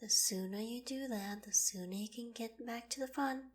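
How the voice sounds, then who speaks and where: Woman acting a breathy, gentle young queen. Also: fairly high pitch, moderate pace. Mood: reluctant, muttering. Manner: soft, breathy, dropping into whispered asides. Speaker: voice actor in audio roleplay. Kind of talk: scripted ASMR roleplay acting.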